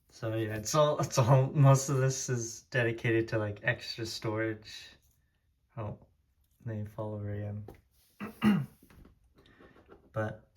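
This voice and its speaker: A young man from Taiwan, a native Hokkien speaker, casually rambling as he tours his diecast collection room, heavy on fillers and restarts. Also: on the low side. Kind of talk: casual room tour vlog.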